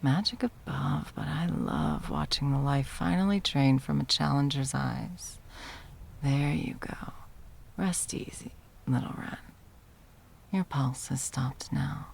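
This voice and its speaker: A woman's voice: rumbling low, slight background noise, heavily acted. A woman acting an arrogant, centuries-old immortal sorceress tyrant in an ASMR roleplay, teasing and theatrical, at times slipping into whispers. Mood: gloating, cruel, then softly mocking. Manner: mocking, purring, theatrical, slipping into breathy whispers.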